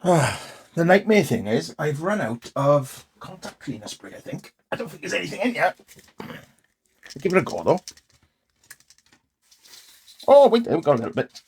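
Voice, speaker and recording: A man's voice: a little high, big pitch swings, normal speed, creaky, pauses often, a little mellow, a little hiss or hum. A man hosting a repair video, narrating casually in short muttered remarks to himself as he works with his hands.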